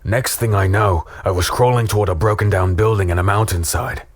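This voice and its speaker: A man playing a battered, weary human in a dark fantasy audio drama, wry and fatalistic as he tells his story. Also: on the low side, fairly quick, no echo, close to an everyday voice. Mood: dazed.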